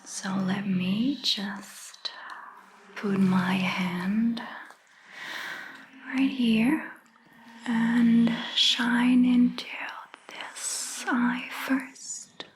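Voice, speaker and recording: A gentle vampire doctor, played by a woman, whispers breathy instructions to a patient in an ASMR roleplay. The delivery is extremely slow, soothing and attentive. The room echoes a little and there is a faint noise underneath. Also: a little high, fairly even intonation. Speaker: ASMR performer. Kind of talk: soft-spoken ASMR roleplay.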